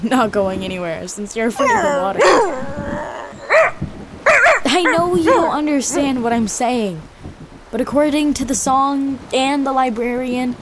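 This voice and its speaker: An actress playing a plucky young girl on a raft in an audio drama, earnest, chatty and expressive. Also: fairly high pitch, background noise. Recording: loud music under the voice.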